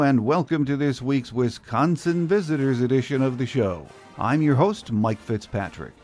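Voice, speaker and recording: A man's voice: mid-range voice, a little mellow. An American man hosting a college football podcast, reading scripted commentary. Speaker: podcast host.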